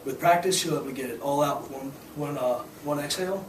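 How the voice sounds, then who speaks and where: Young guy teaching scuba, hoarse, kinda high voice, mic far off, echoey noisy room. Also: quick pace. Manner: casual, explanatory, frequent fillers. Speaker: scuba instructor. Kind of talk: hands-on demonstration to a class.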